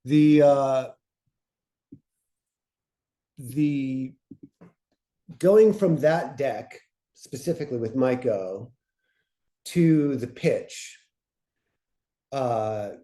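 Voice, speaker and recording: A man with a medium-pitched, very soft-edged and relaxed voice co-presents an informal workshop. He explains things haltingly, feeling his way through with "uh" and "you know".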